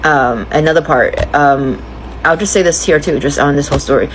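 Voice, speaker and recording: A woman from China with a low voice speaks openly to her phone, thinking aloud in a searching, confiding way. Faint noise hums behind her. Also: fairly quick, poor audio. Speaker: vlogger sharing personal reflections. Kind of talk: candid personal monologue.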